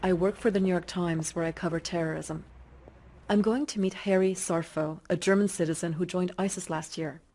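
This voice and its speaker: A woman journalist narrating a news report and asking interview questions in a measured, composed way. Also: fairly low pitch, fairly even intonation, mellow.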